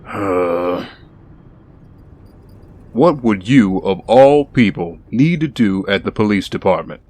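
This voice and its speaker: Low-voiced, weary bodyguard played by a man. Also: expressive, takes it slowly, a little mellow. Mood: exasperated, dry. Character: weary, straight-talking ex-soldier bodyguard, patient man. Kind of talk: audio drama acting.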